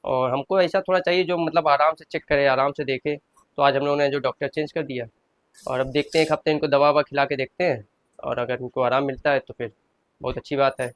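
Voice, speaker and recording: A man's voice: mid-range voice, steady tone, fairly quick, no room sound. A man in his thirties, a shopkeeper and father, chatting casually to viewers through a family vlog. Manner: chatty, addresses viewers as friends. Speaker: vlogger, shop owner and father.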